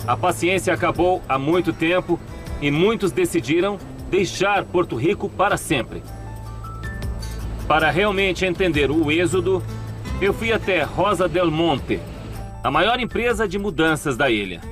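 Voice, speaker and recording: A man voicing a show host in a dubbed documentary, narrating and asking questions in a measured, grave, explanatory way. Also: fairly high pitch, lively intonation. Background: background music.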